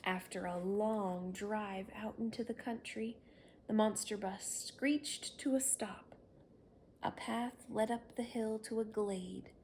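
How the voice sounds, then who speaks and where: A woman's voice: medium pitch, a little mellow, slight background noise. A woman reading a children's story aloud, narrating expressively and without hurry. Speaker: storybook reader.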